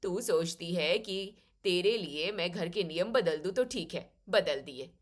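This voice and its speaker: A woman's voice: fairly low pitch, animated, measured pace, voice pushed down. A woman playing a stern, commanding older mother-in-law who speaks in curt, authoritative pronouncements in an audio story.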